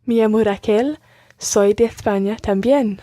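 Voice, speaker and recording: Mellow woman, careful learner. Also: medium pitch, dry recording. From Scotland. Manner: careful, measured repetition. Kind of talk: repeating phrases in a language lesson.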